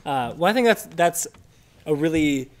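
A young adult man talking casually as a podcast host, animated and often acting out imagined lines. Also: a little high, moderate pace, background noise. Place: indoor room, seated group. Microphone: shared desktop microphone.

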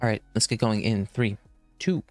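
A man's voice: on the low side, moderate pace, a little mellow, no echo, faint music behind. A young man giving casual reaction commentary as a video host, close to the mic in a bedroom. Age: young adult. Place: small bedroom, indoors. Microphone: close studio mic on boom arm.

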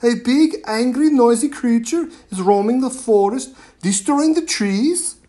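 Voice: mid-range voice, lively intonation, moderate pace, a little mellow, voice pushed up, natural voice. A storyteller voicing a brave, curious little Viking girl who urges others to listen in a storybook reading. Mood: urgent.

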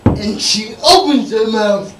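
Slow, halting young male stand-up comedian. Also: sits high. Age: young adult. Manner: halting, drawn-out, effortful delivery. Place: small dim comedy club stage indoors. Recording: noisy, echoey live recording from far off.

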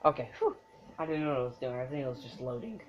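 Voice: mid-range voice, animated, fast, slight background noise. A gamer recording a casual let's play in front of a TV. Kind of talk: casual let's play commentary, reading intro text aloud. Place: room in front of a TV screen. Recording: dull muffled recording with loud game music behind. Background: loud game music from a TV.

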